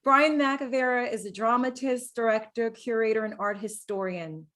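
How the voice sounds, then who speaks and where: A woman's voice: on the high side, moderate pace, fairly bright tone. A woman from New York City co-hosting a show, giving introductions that sound partly read from prepared notes. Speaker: co-host, actor and director.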